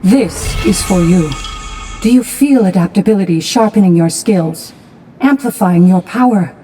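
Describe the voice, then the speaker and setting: A woman playing a wise, earnest healer who tells stories in a measured way in a video game. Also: medium pitch, mellow, soft background music, close to an everyday voice. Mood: reflective, regretful. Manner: measured, reflective. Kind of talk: video game cutscene storytelling.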